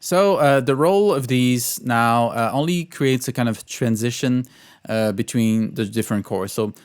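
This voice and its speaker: Male composer-instructor, mid-pitched, smooth clear tone, informal teaching delivery with hesitant, think-as-you-go phrasing. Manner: halting, thinking aloud. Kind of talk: informal tutorial explanation of own music.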